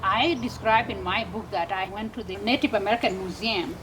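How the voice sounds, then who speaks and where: A woman's voice: medium pitch, fairly quick. A woman in her thirties with a South Sudanese accent, giving an earnest personal account as an interview guest. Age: 30s. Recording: clear background noise.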